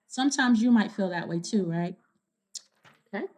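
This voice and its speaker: A woman reading a children's storybook aloud, pausing to explain things and check in with young listeners. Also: mid-range voice, lively intonation, dark tone.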